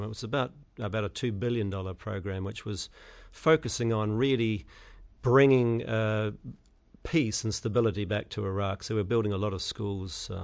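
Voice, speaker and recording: A middle-aged man with a New Zealand accent, answering radio interview questions as an election candidate, halting with many fillers and restarts. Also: on the low side, gravelly.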